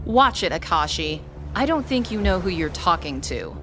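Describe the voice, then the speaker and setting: A woman playing a feisty, eager rookie agent in an audio roleplay drama, assertive and sharp-tongued. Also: on the low side, big pitch swings, moderate pace. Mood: cool, warning. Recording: music behind the voice.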